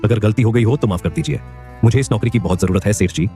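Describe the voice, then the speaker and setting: A poor young worker pleads and reassures his family in a low, mellow voice, voiced by a man. He talks quickly and earnestly. Soft music plays behind him. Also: natural voice. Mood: pleading. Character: poor young laborer, humble and hardworking. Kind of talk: animated story voice acting.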